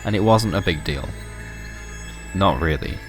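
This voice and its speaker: Very low-pitched man narrating horror fiction. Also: animated, a little slow, music in the background. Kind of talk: scripted horror story narration.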